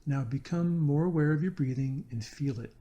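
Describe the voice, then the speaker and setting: A man narrating a scripted guided meditation in a slow, deliberate, earnest manner. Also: a little high, mellow, dull audio.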